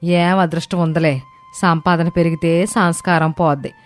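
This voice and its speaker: A woman's voice: on the low side, steady tone, moderate pace, soft background music, natural voice. A woman voicing a bitter, scornful older mother-in-law in a family moral story, grumbling and accusing. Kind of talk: animated moral story dialogue.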